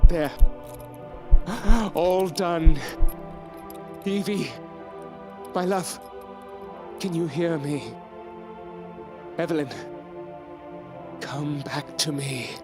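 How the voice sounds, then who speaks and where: An actor playing a frantic, lovesick mad scientist in an audio drama, with a melodramatic delivery. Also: male voice, fairly high pitch, extremely slow, pauses often. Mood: tender. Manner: melodramatic, swinging from hushed monologue to outbursts. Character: frantic, lovesick mad scientist reviving his dead beloved. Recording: loud music under the voice.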